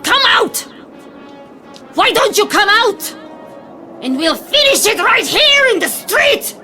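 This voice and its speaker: In a reverberant space with music underneath, a hungry, scornful woman soldier. She is the lone survivor of a war, musing to herself in fragments as in a radio play, at a slow pace. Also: very high-pitched, pushed and bright, heavily acted. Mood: defiant, desperate. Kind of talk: dramatic audio drama monologue.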